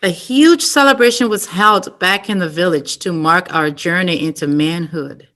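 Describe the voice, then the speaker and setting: A woman reading a story aloud at story time, slow and careful. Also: on the low side, a bit bright. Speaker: storytime reader. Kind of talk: reading a picture book aloud.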